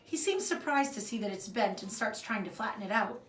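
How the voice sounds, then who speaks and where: An expressive woman reads a children's storybook aloud in the manner of a primary school teacher. The sound is roomy and a little distant from the mic, with faint noise behind her. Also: medium pitch, brisk. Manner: expressive, acts out the dialogue. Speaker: fourth grade teacher reading aloud.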